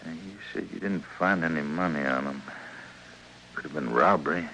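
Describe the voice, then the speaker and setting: An actor playing an accused frontier blacksmith in an old radio western, earnest and anguished, with plain rustic speech. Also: male voice, rumbling low, moderate pace, sharp tone, full character voice. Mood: downcast, pleading. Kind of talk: old-time radio western drama acting. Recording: noisy old radio drama recording.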